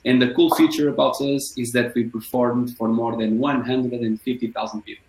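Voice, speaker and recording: Man, panelist, flat delivery, echoey room. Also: mid-range voice, normal speed. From Portugal. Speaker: panelist, company founder. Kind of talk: panel self-introduction. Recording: roomy echo.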